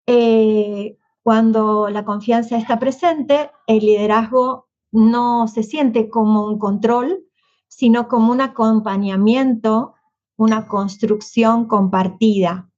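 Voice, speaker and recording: A woman school principal and panelist speaking in her native Spanish, measured, pausing with frequent fillers. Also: on the high side, steady tone, darkish tone, highs cut off. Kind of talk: prepared panel answer.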